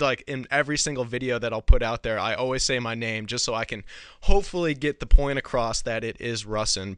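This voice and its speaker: A man with a bright, forceful voice hosts a podcast in a roomy, slightly echoing space. He is punchy and informal, addressing listeners and guests like buddies. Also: mid-range voice, smooth, clean studio sound. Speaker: podcast host. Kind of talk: podcast hosting, promotional intros and casual chat.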